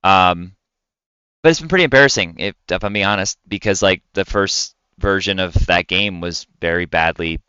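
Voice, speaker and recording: A man with a deep, bright voice co-hosts a casual gaming podcast. He explains things with enthusiasm, and his delivery is crisp and clean, recorded close and dry. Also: moderate pace, dry recording, muffled recording. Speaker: podcast co-host. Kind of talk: casual podcast chat about video games.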